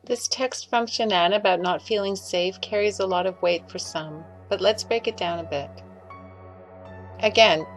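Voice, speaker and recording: A woman's voice: fairly low pitch, low-quality recording. A woman reading scripted commentary as a voice-over. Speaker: video creator doing voice-over commentary.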